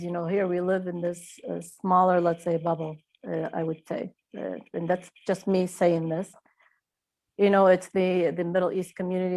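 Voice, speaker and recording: Reflective middle-aged woman, lowish voice. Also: a little mellow, rough recording. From Iraq. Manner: reflective, frequent hesitations. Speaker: author being interviewed. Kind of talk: casual interview answers.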